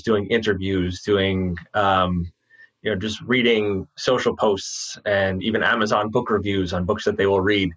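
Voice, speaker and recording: Husky Jersey-accented copywriter. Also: male voice, fairly low pitch, highs cut off. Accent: New Jersey. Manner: relaxed, chatty, drops his g's. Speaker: copywriter, guest. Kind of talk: casual interview talk.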